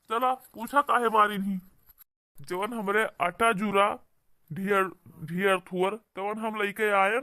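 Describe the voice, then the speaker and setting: A man's voice: sits high, bright, forceful tone. A man with an Awadhi accent voicing an indignant, protective brother-in-law who scolds and argues in a comedy cartoon. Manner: scolding, argumentative. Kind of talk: amateur comedy cartoon dialogue.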